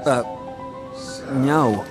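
An actor playing a young, protective soldier hero in a video game, with a punchy, emotional delivery. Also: male voice, mid-range voice, very slow, music in the background. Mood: defiant. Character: young heroic male soldier, brash, protective, earnest. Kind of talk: English video game dub acting.

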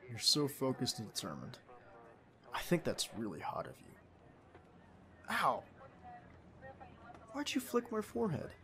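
A man's voice: mid-range voice, wildly swooping pitch, a little slow, long pauses, sharp tone, exaggerated voice. A man playing a young male field agent, a doting, stubborn, playful boyfriend, in an ASMR roleplay audio drama. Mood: tender, teasing. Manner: affectionate, teasing, protesting.

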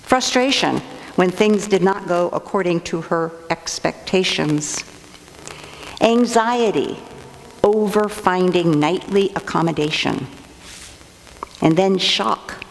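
An older woman, an assistant pastor, preaching a measured sermon from a church lectern. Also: on the low side. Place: church sanctuary, indoors. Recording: long reverb of a church sanctuary.

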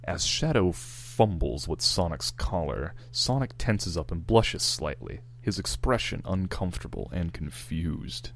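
A man's voice: low voice, expressive, a little slow, hardly any pauses. A man reading amateur fan fiction narration, with stage directions told in the present tense.

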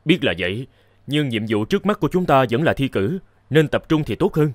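Man playing a lively young travelling scholar. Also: medium pitch, a little fast, no echo, studio quality, natural voice. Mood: earnest. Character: young travelling scholars in an old folk-tale cartoon. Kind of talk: animated folk-tale dubbing.

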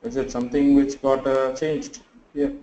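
A sleepy man teaches a live class over a video call, pausing often and thinking aloud as he works. His voice is mellow and dark, and the audio sounds dull and roomy, with a faint hiss underneath. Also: medium pitch, fairly even intonation. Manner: halting, thinks aloud with many fillers. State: sleepy and tired. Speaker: online class instructor. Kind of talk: live screen-share tutorial.

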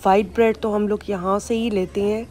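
A woman's voice: mid-range voice, level tone, fairly quick, a little mellow. A woman, chatting casually through a grocery run and explaining products and prices as she films on her phone. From Pakistan. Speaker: vlogger. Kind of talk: casual vlog narration. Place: supermarket, indoors.

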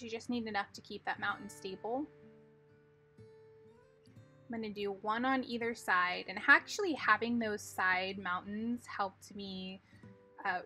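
A woman with a bright, clear voice talks through a card-making project step by step, calm and methodical. Soft music plays faintly under her voice-over. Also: mid-range voice. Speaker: craft tutorial host.

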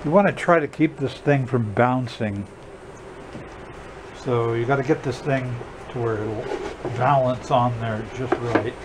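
A man's voice: mid-range voice, unhurried. An older man giving a casual hands-on workshop demonstration in a folksy, plainspoken way, recorded by a camera in a garage. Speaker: drum restorer hosting a how-to video. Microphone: camera a short distance away. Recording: clear background noise, workshop ambience.

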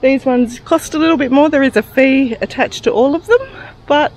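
A woman, narrating a casual travel vlog in a relaxed, chatty way while touring outdoors. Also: sits high, moderate pace. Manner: chatty, relaxed tour guiding. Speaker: travel vlogger. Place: outdoors touring a town and wildlife park.

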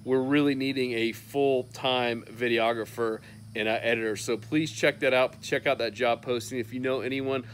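A man's voice: mid-range voice, fairly even intonation, moderate pace, slight background noise. Chatty man filming a patio update. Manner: upbeat and chatty, lots of "uh" fillers. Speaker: fishing newsletter host. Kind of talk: casual weekly roundup to camera. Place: covered patio outdoors.

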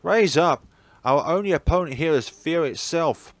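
A man's voice: fairly high pitch, moderate pace, no room sound, pitched above the performer's own voice. A man voicing an optimistic, caring teenage schoolboy in a visual novel, speaking earnestly. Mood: cheerful, rallying. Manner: earnest, animated. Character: upbeat, caring teenage schoolboy, stubbornly optimistic best friend.

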